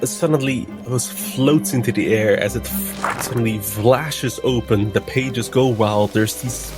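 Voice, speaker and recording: A man's voice: medium pitch, slow pace. A man playing a tabletop roleplaying game, speaking in formal, articulate character and narrating his own actions with theatrical flair. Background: music under the voice.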